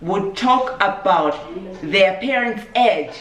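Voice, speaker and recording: A woman from Zambia, chatting casually on her vlog in a relaxed, measured way and laughing easily. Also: medium pitch. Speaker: vlogger. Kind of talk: casual chat. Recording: echoey room, mic at a distance.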